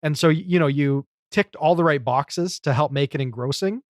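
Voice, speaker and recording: A man's voice: a little high, moderate pace, no echo, studio quality. A man in a casual podcast discussion, talking in a rambling, conversational way as a commentator.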